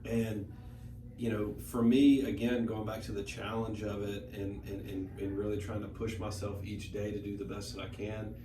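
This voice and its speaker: A man in his late thirties talks in a casual podcast chat, his voice sitting low. He rambles and circles back, repeating words as he restarts his thoughts. The recording is roomy and noisy, with the mic some way off. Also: flat delivery, moderate pace, mellow. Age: 30s. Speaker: guest, brewery owner. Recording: echoey room, noisy, mic at a distance.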